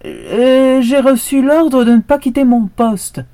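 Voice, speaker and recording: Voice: medium pitch, animated, normal speed, mellow, voice pushed up, lightly acted. A storyteller voicing a loyal guard dog who keeps begging the other animals for help. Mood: pleading. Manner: insistent, pleading.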